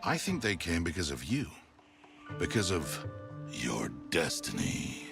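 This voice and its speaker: Older mentor storyteller, low voice, hugely varied intonation, dryly theatrical, animated game, music behind. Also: male voice, unhurried, gravelly, heavily acted. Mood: teasing drama. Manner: dry, theatrical. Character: gruff, fatherly older mentor and storyteller, playfully dramatic. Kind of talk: animated game dialogue and narration.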